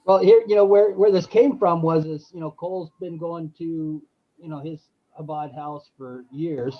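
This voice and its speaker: A man's voice: on the high side, fairly even intonation, moderate pace, highs cut off. A man telling a story in a casual online chat, eager and full of fillers.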